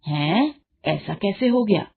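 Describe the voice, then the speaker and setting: A woman playing a spiteful scheming mother-in-law, husky voice. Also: mid-range voice, lively intonation, slow, narrow, tinny audio, heavily acted. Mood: baffled, disgusted.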